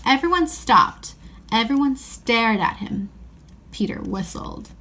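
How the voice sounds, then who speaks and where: A woman reading a children's novel aloud in an expressive storybook style. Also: a little high, slow pace, rough recording.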